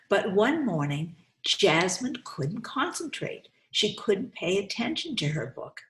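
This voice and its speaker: A woman hosting a children's storytime, speaking deliberately and instructively as she reads and explains, often repeating phrases for young listeners. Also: on the low side, fairly bright tone. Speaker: host reading children's books aloud. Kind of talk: storytime read-aloud with commentary to children. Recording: roomy, echoey sound.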